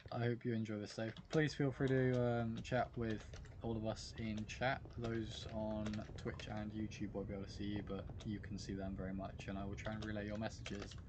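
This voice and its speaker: A man's voice: lowish voice, flat delivery, normal speed, background noise. A British man chatting casually with viewers while playing a game live, greeting them by name in a goofy way. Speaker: livestream gamer. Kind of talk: casual live-stream chat while gaming.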